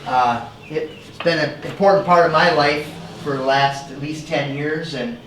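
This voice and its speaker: A man with a somewhat high voice answers casually as an interview guest. He is hesitant and often repeats a word before going on. The recording is echoey, with clear noise around him. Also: fairly even intonation, a little slow, a bit bright. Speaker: interview guest, car collector. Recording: echoey, clearly noisy location sound.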